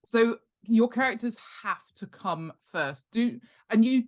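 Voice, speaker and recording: A woman's voice: on the high side, expressive, measured pace. A woman chatting casually as a guest expert in an interview, in a chatty, jokey and emphatic way. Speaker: guest author and world-building expert. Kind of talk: casual podcast interview chat.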